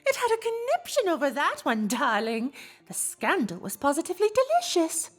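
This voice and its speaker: A refined female cartoon pony, full of teasing gossip and genteel theatrics. The voice sits high and swings widely in pitch, drawing out phrases, with music behind it. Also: wildly swooping pitch, moderate pace, heavily acted. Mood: sly, teasing. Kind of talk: fan fiction audio drama acting.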